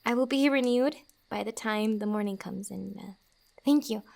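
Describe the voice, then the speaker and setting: A woman acting a playful, guarded young forager in a fantasy ASMR roleplay, with a teasing, halting delivery. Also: medium pitch, darkish tone, natural voice. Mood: soft, grateful. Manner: teasing, halting, breathy little laughs.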